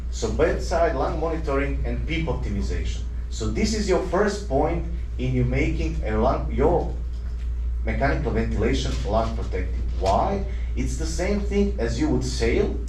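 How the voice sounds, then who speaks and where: A man's voice: medium pitch, mellow, a little hiss or hum. A man from Croatia, a doctor giving an earnest conference lecture full of rhetorical questions and small self-corrections. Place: lecture hall. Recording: roomy hall, mic at a distance.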